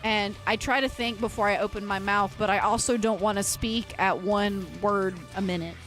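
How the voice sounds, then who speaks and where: A woman's voice: medium pitch, steady tone, moderate pace, fairly bright tone, faint music behind. A woman livestreamer chatting casually and earnestly with her viewers, rambling and often restarting her sentences. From United States. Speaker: livestreamer and metal vocalist.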